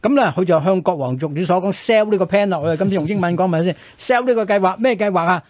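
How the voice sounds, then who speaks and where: A man with a Hong Kong Cantonese accent tells history as a radio co-host. He talks quickly in a dry, close sound. He explains conversationally and keeps checking in with short rhetorical questions. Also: high-pitched, darkish tone, no echo.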